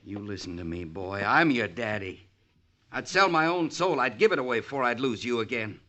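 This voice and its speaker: An actor playing a bitter, stubborn middle-aged rancher in an old-time radio western, gruff and commanding with folksy grammar. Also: male voice, medium pitch, animated, moderate pace, bright, forceful tone. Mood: stubborn, fervent. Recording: muffled, noisy vintage radio recording.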